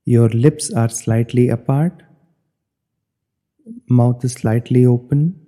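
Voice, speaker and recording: A man leading a guided meditation as an instructor, giving measured, step-by-step directions. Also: medium pitch, fairly even intonation, dark tone. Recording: long hollow reverb.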